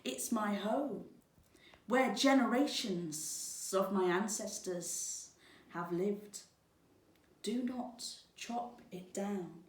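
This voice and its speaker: Solemn giant storybook snake, played by a woman, measured hiss, slow delivery, distant mic. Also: medium pitch. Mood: solemn warning. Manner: hissing, measured. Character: huge, hissing, solemn boa constrictor. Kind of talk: children's storybook reading. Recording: roomy, distant microphone.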